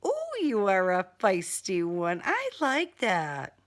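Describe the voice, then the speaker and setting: A woman's voice: mid-range voice, huge pitch swings, slow pace. A woman voicing a smug male serial killer in an audiobook, with polite, condescending, formal diction and a taunting menace. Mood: taunting, intrigued.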